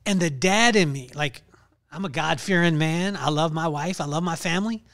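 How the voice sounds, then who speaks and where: A man in his thirties hosting a podcast, telling a story in an animated way over a call. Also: on the high side, moderate pace, no echo. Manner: animated storyteller, acts out quotes. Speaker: podcast host. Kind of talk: casual interview talk, storytelling. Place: home office. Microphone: headphones and mic at home.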